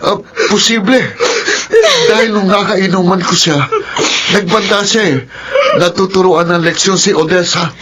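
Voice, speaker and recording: A man's voice: high-pitched, steady tone, moderate pace, natural voice. A man with a Filipino accent playing a concerned family acquaintance, earnest and troubled, in a melodrama. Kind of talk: melodrama acting. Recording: loud background noise.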